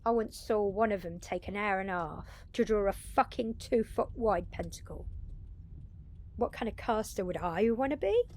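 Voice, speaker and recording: A confident female vampire, acted by a woman, speaks at a medium pitch. She explains the magical world like a seasoned mentor, chatty and wry, talking straight to one listener in an intimate audio drama. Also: moderate pace, darkish tone, lightly acted. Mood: wry, teasing. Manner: chatty, informal explaining with occasional swearing.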